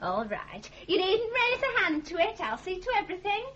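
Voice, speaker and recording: A pert young Northern English maid in a 1980s radio drama, blunt and always answering back. Her voice is high and very animated. The old broadcast is muffled, with faint noise behind her. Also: moderate pace, full character voice.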